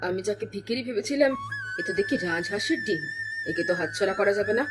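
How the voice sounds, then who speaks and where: A woman's voice: medium pitch, animated, fast talker, over music, natural voice. A woman voicing a greedy, scheming aunt in a cartoon, coaxing with false sweetness and muttering cunning asides. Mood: sly, scheming. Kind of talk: cartoon voice acting.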